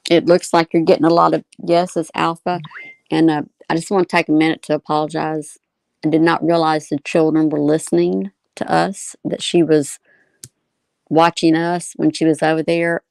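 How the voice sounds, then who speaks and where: A woman's voice: deep voice, little pitch movement, normal speed, vocal fry, a little mellow, no echo. A woman co-hosting a casual livestream, talking in an earnest manner. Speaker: livestream co-host.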